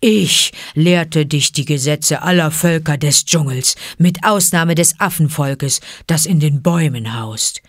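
A woman's voice: lowish voice, dry recording, clean studio sound, natural voice. A woman doing a stern old bear teacher. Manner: stern, lecturing, emphatic.

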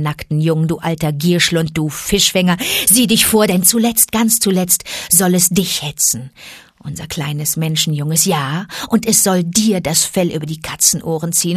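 A fierce, protective mother wolf from a storybook, defiant and loud, as a woman reading aloud puts her on. Her voice sits low for a woman, and the sound is dry and close. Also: slightly rough, no room sound.

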